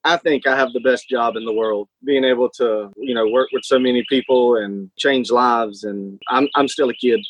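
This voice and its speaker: A man's voice: mid-range voice, fairly even intonation, moderate pace, hardly any pauses, no room sound, lo-fi sound. A man who teaches elementary PE, talking in an easygoing way with lots of fillers. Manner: easygoing, lots of "you know". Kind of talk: casual interview answers.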